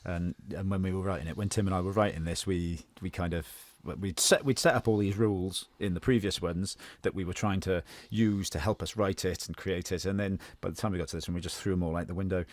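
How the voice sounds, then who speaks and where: Low-voiced enthusiastic man in casual chat. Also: fairly even intonation, fast, few pauses, dry recording. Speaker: actor and writer.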